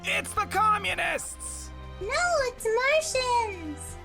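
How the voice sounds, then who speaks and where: Hysterical crowd member, extremely high and shrill, panicked, for a radio sci-fi adventure. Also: male voice, slow, creaky, music in the background, exaggerated voice. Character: shrill, panicking bystanders at an alien invasion. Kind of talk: retro radio-serial acting.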